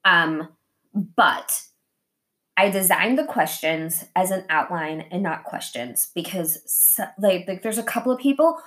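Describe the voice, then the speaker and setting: Blunt, sweary, animated woman podcast host. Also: lowish voice, unhurried. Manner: animated, acting out imagined dialogue. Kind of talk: casual, candid conversation.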